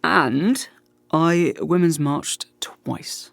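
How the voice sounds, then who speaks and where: A man's voice: a little high, extremely slow, gravelly, a bit bright, no room sound, exaggerated voice. An actor playing a wry, self-deprecating, slightly hesitant man in a dorm, in a naturalistic radio play. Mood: wry, defensive.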